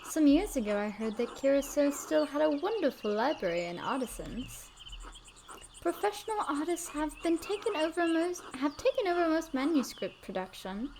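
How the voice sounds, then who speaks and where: Woman reading a courteous noble patron for a game; high, sing-song delivery. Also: sing-song, moderate pace, a little mellow, a little hiss or hum. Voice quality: plain reading in her own voice.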